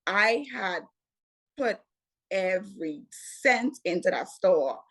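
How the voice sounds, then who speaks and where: A Bahamian woman in her twenties, a guest telling her story in a casual, unhurried interview. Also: mid-range voice, expressive. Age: 20s. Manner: unhurried, repeats "what had happened was".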